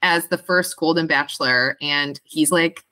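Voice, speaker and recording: A woman's voice: deep voice, a little slow, bright, forceful tone, no echo. A woman co-hosting a casual pop culture chat in a chatty, informal way. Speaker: podcast co-host.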